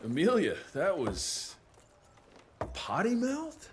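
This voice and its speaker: An actor playing a tough, wry American cowboy monster hunter in a video game, talking in terse, informal lines. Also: male voice, high-pitched, wildly swooping pitch, slow, a little hiss or hum, exaggerated voice. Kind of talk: video game cutscene acting. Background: loud music.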